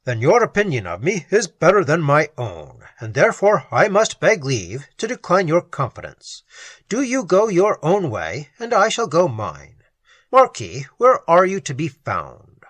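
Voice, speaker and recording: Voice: medium pitch, wildly swooping pitch, a little slow, fairly bright tone, no echo, heavily acted. A reader voicing a blunt, sensible young cavalier in a classic audiobook. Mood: curt.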